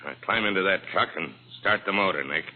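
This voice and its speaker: Man playing a deep-voiced, menacing old-radio gangster. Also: fast, natural voice. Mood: cold, commanding. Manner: clipped underworld slang, commanding. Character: menacing male gangster, cold-blooded tough crook. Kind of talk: 1940s radio crime drama acting. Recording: muffled, noisy old radio recording.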